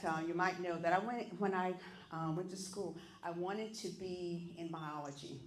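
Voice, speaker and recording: A woman's voice: on the low side, slight background noise. A woman, chatting folksily with an audience of elders and coaxing them to join in. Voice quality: loud voice. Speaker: event entertainer leading songs and games. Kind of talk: casual talk to an audience of elders. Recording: echoey room.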